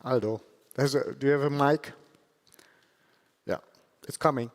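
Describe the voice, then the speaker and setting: A man addressing a conference audience from the stage as the organization's president, speaking in an unhurried, soft-spoken way with hesitant fillers. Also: medium pitch, steady tone. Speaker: foundation president. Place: large conference hall, on stage. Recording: long hall reverb, crowd behind.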